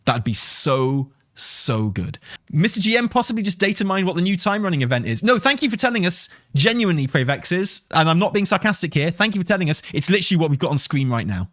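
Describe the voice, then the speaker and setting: A man's voice: high-pitched, very animated, fast, a bit bright, dry recording, studio quality. A man talking casually as a gaming stream host, rambling and enthusiastic, with some swearing. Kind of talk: casual livestream chat and commentary on game news.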